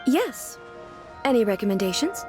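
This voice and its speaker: Formal royal shogun lady from a game, earnest and literal, highish lively voice, music behind. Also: normal speed. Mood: calm, reassuring. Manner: formal, composed, puzzled and curious. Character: regal, earnest, literal-minded female shogun. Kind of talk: English video game voice acting.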